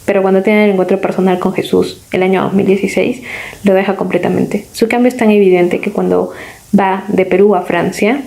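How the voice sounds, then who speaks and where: A woman's voice: medium pitch, fairly even intonation, fairly quick, gravelly, darkish tone. A woman hosting a faith interview show, friendly and laughing along with her guest. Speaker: host. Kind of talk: scripted intro and interview.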